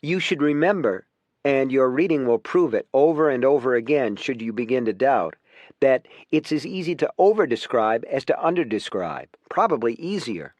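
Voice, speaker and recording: A man reading a nonfiction audiobook in a measured, clearly phrased scripted narration. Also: medium pitch, expressive, darkish tone, dry recording.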